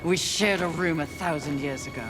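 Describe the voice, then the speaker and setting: A woman's voice: sits low, moderate pace, over loud music, lightly acted. An actress playing a haughty, battle-hungry female horseman of the Apocalypse in a video game, speaking with imperious, sardonic disdain. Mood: scornful. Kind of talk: theatrical video game voice acting.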